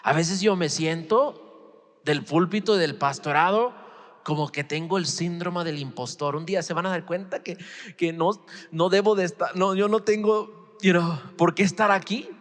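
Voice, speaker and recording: A man's voice: sits high, moderate pace. A man in his forties, a native English speaker, preaching as a pastor in an informal, conversational way, acting out bits of dialogue and putting questions to his listeners. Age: 40s. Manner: informal, acts out imagined dialogue, asks the listeners rhetorical questions. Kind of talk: conversational expository sermon in Spanish as a second language. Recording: reverberant hall with long echo.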